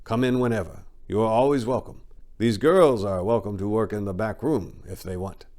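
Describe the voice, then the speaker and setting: Guy doing a mob boss, lowish voice, curt broken english, in charge but generous, audiobook story. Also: normal speed, a little mellow, lightly acted. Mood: curt welcome. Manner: clipped, broken English phrasing. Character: Korean mafia boss, curt, generous, commanding man.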